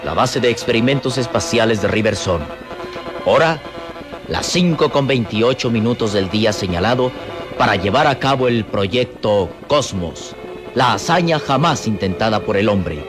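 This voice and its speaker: Man, medium pitch, solemn dramatic narration, deliberate pauses, old radio serial, music behind. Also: lively intonation.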